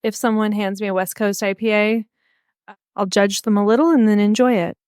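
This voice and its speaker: A woman's voice: mid-range voice, steady tone, a little fast, darkish tone, clean tone, no echo, clean studio sound. A young woman from California, a guest on a podcast, chatting in a bubbly way and laughing often. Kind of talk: casual beer-tasting chat.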